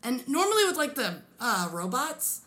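A young woman from Pennsylvania with a low yet bright, forceful voice, chatting casually as a podcast co-host. She tells stories quickly and laughs often. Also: big pitch swings. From Pennsylvania, USA. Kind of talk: casual chat.

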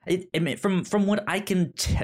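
A man co-hosting a casual podcast, talking haltingly and repeating words as he thinks out loud. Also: high-pitched. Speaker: podcast host. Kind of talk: casual chat about a manga.

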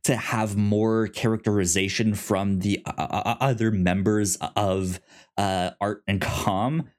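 A man's voice: fairly low pitch, steady tone, measured pace. A man co-hosting a podcast, casually discussing a TV series and often stammering over repeated syllables. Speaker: podcast co-host.

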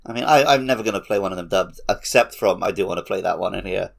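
A man hosts a relaxed, informal chat about movies. His voice sits fairly low and has a strong creaky, gravelly edge. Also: fast. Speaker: podcast host. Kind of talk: casual film chat.

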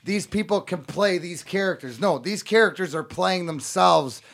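A man with a slightly high voice hosts a loose interview, rambling and restarting his sentences. He swears casually as he talks. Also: moderate pace, creaky, a bit bright.

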